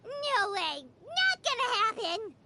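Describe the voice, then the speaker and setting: A woman playing a tiny, excitable, childlike floating companion in animated video game dialogue, squeaky and easily indignant. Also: slow pace, slight background noise, exaggerated voice. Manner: speaks of herself in third person, excitable.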